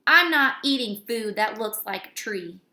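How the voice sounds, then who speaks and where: Woman reading as a grumbling, bright-voiced schoolboy, echoey. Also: medium pitch, expressive, a little slow. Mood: scornful. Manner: complaining, emphatic. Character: A J, grumbling young schoolboy who hates school. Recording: roomy, echoey indoor recording.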